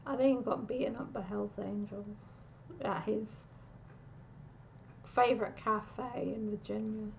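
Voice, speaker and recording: A woman giving a casual, meandering psychic reading, pausing and restarting often, on a dull lo-fi recording. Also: medium pitch, steady tone, gravelly, darkish tone, a little hiss or hum. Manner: meandering, with frequent restarts and pauses. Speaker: psychic reader.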